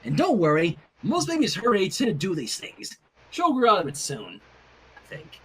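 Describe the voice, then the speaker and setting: A man's voice: high-pitched, wildly swooping pitch, normal speed, a bit bright, slight background noise, exaggerated voice. A man voicing a brash, cocky young woman with a casual, confident and slangy way of talking in a story reading. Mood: smug.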